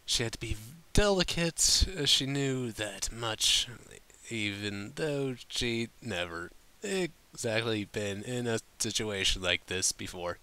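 A man reading fan fiction as an expressive, dramatic storybook narrator. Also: fairly low pitch, takes it slowly, clean tone.

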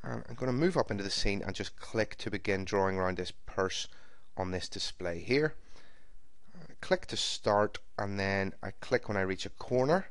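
A man giving a screen-recorded software tutorial, explaining each step in a steady, methodical way. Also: on the low side, normal speed, no room sound. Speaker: software trainer. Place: voice-over recorded for a screen capture.

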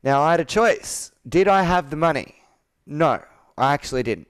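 A man in his thirties speaks in a smooth, resonant voice with a dry, close sound. He talks like a coach on a sales call, earnest and persuasive. He leans on personal stories and rhetorical questions to make his point. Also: fairly high pitch, expressive, normal speed, no echo. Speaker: business coach. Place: indoor room with whiteboard, video call.

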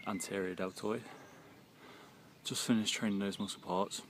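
A young man in his twenties chatting casually into a phone outdoors after a workout, relaxed and laughing now and then. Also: lowish voice, moderate pace. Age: 20s. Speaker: fitness vlogger. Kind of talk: casual vlog chat. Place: outdoors in a wooded park. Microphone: phone held close with earphone mic. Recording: phone recording outdoors with faint nature noise. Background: faint birds and outdoor ambience.

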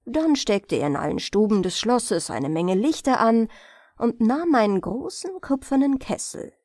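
A woman reading fairy tales as an expressive bedtime audiobook narrator. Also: fairly high pitch, measured pace, dry recording, clean studio sound.

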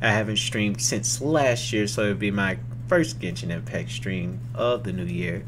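A young man streamer reacting casually to a game trailer, excitable and slangy, repeating himself, on a desk mic at home. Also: on the low side, a little slow, background noise. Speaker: gaming streamer.

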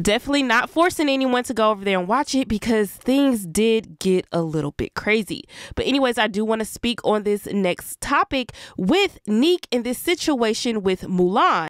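A woman's voice: a little high, a bit bright, clean tone, no echo, clean studio sound. A woman hosting a gossip channel, congested and a little stuffy, talking steadily and directly to her viewers. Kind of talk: scripted commentary.

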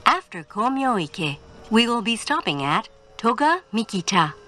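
A woman giving a scripted train announcement in a formal, measured manner. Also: fairly high pitch, very animated, sharp tone. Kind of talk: scripted English in-car announcement.

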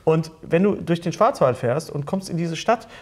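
Middle-aged man, fairly high pitch, casual talk-show chat, jokey, digressive, animated manner. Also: normal speed. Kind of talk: casual chat with joking asides. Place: indoor studio set at a table.

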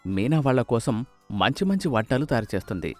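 A man's voice: mid-range voice, very animated, brisk, soft background music. A man narrating a scripted story as its narrator.

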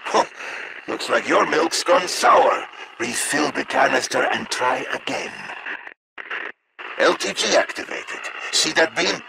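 An actor voicing an eccentric, impatient spectral scientist in a video game, gravelly, theatrical and condescending. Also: male voice, mid-range voice, very noisy.

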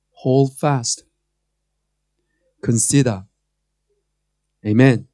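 A man's voice: mid-range voice, dark tone, no echo, clean studio sound. A man in his thirties with a Korean accent, preaching a sermon from a church stage into a handheld mic, joking at times and building to emphatic calls. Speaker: pastor. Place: church stage before a screen.

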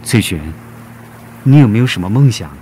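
A man's voice: medium pitch, animated, moderate pace, lightly acted. A man acting a kind, encouraging male schoolteacher in a radio drama. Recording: music behind the voice.

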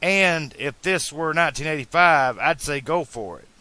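A man voicing an earnest, excitable teenage boy in an audiobook, talking in an emphatic, colloquial, pleading way. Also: fairly high pitch, measured pace, dry recording, pitched above the performer's own voice. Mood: careful, persuasive. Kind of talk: audiobook character dialogue.

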